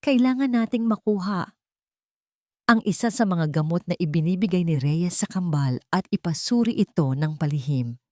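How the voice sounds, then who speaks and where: A woman's voice: on the low side, animated, moderate pace, a little mellow, no room sound, natural voice. A woman narrator acts out a wealthy wife in an audiobook drama. She is protective and anxious but firm, speaking with urgent feeling. The recording is dry. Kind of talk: audiobook character dialogue.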